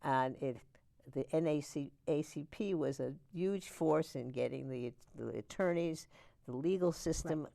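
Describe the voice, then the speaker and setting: A woman hosts a talk show, leading her guest through questions in a very low, gravelly voice. Her tone is dark and mellow, and she asks things plainly. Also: measured pace. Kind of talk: interviewing a guest.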